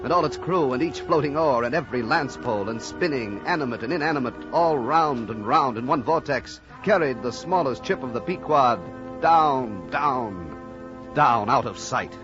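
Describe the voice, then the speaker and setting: An actor playing a solemn sailor who survived a whaling tragedy, narrating gravely and dramatically in a 1940s radio drama. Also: male voice, medium pitch, animated, moderate pace, lightly acted. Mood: grave, intense. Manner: grave, dramatic, incantatory repetition. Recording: old muffled radio recording, music behind.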